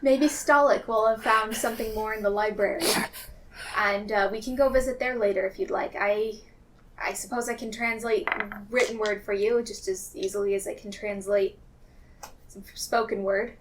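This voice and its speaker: A woman player improvising in character in a tabletop game, polite and measured. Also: mid-range voice, fairly even intonation, recorded from a distance, slight background noise.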